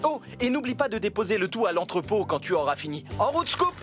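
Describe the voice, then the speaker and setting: A man's voice: sits high, big pitch swings, fast talker, over music. A man voicing a friendly, patient, encouraging builder boss in a children's cartoon dub. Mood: cheerful. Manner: encouraging, good-humoured.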